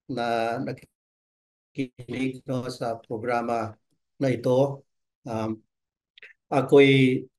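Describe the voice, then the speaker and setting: A man speaks as an expert guest in an interview, at an average pitch. His delivery is halting and hesitant as he explains, and he slips English terms into his sentences. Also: a little mellow. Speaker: expert guest, marine science professor.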